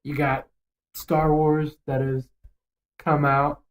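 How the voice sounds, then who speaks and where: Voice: mid-range voice, little pitch movement, slow. A young adult podcast co-host giving opinions in casual banter, swearing freely and rambling emphatically.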